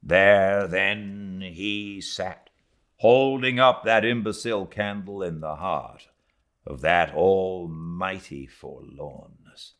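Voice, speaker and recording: Slow, low-voiced British male narrator. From Britain. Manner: theatrical, swelling to intensity and dropping to hushed tones. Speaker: audiobook narrator. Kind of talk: dramatic literary audiobook reading.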